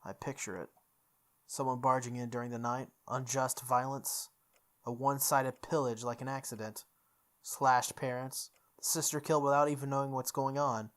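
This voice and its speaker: A man's voice: medium pitch, steady tone, normal speed, fairly bright tone. A man hosting a playthrough, reading a visual novel aloud expressively, with casual asides and the odd stumble. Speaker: let's play host.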